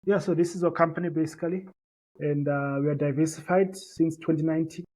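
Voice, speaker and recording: A man's voice: fairly high pitch, steady tone, fairly quick, darkish tone, poor audio. A man from Zimbabwe whose first language is Shona, a company founder talking earnestly in an interview, with many fillers and repeated words. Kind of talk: interview, conversational business pitch.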